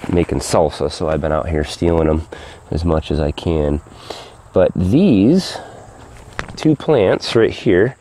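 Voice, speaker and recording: Low-voiced middle-aged man, easygoing outdoor garden vlog on a handheld camera, crickets in the background. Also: a little mellow. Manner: rambling, easygoing, many fillers and restarts. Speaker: gardening vlogger. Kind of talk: casual walking garden tour. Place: backyard vegetable garden outdoors. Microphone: handheld camera mic. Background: crickets and insects.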